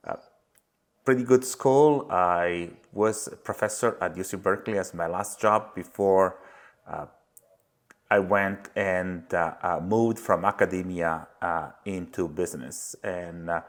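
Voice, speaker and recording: A middle-aged man from Italy with an Italian accent, a company founder speaking as a podcast guest, pausing often on "uh". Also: sits low, a bit bright. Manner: many "uh" fillers, unhurried. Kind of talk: podcast interview.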